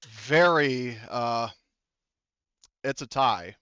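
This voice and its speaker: Guy game mastering on a headset, dry sound, clear smooth voice, kinda rambling and cursing while he thinks out loud. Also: mid-range voice, expressive, no room sound, muffled recording. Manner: sweary, halting, thinking aloud. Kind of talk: casual improvised narration of a tabletop game. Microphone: headset mic.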